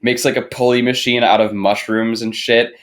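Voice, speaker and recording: A man's voice: mid-range voice, little pitch movement, normal speed, a little hiss or hum. Young man in casual, emphatic chat. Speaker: podcast panelist.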